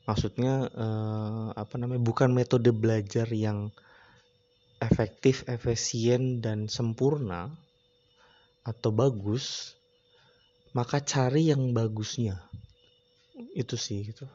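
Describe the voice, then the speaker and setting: A man hosts his own podcast alone, drifting through his thoughts in a loose, slangy way and pausing often to search for words. His voice sits at an ordinary pitch with a dark, mellow tone. The recording sounds a little dull and lo-fi. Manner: relaxed, rambling, slangy, with frequent hesitations. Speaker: podcast host. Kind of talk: casual solo podcast chat.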